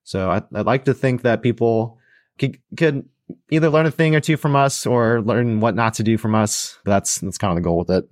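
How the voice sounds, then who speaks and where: A man co-hosting a casual podcast, speaking in a steady, monotone way. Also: mid-range voice, moderate pace, dry recording, studio quality. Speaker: podcast co-host.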